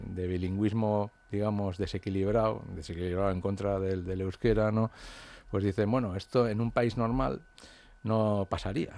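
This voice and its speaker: A man's voice: low-pitched, mellow. A man, an author from the Basque Country, talking through his ideas in an interview, hesitant and reflective with many fillers and restarts. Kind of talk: interview conversation.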